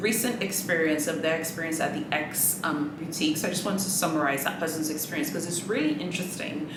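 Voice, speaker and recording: Chatty low-voiced woman in an echoey room. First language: Swahili. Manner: sassy, chatty, rambling with many asides. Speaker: luxury handbag vlogger. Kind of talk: casual chatty monologue. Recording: echoey room with background noise.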